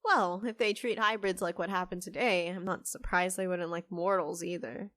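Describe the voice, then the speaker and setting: An actress playing a young half-fae newcomer, secretive and good-hearted, with a hesitant manner in an audio drama. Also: female voice, fairly low pitch, fairly even intonation, moderate pace, a bit bright, no echo, clean studio sound, natural voice. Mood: earnest, curious.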